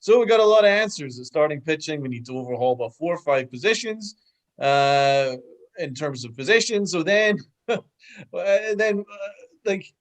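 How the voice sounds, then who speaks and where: Guy on a video call podcast, kinda high voice, blunt and emphatic. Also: lively intonation, moderate pace. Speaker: podcast co-host. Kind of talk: casual sports chat.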